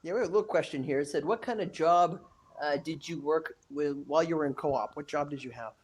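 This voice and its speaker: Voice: medium pitch, a little fast, husky. Instructor interviewing a former student. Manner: asks questions and rephrases them on the spot. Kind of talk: webinar interview.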